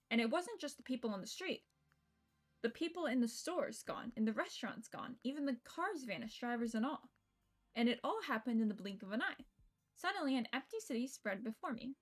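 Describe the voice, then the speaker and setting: High-voiced woman streamer reading a game aloud. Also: lively intonation, a little fast. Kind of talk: reading visual novel narration aloud with casual asides. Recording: music behind the voice.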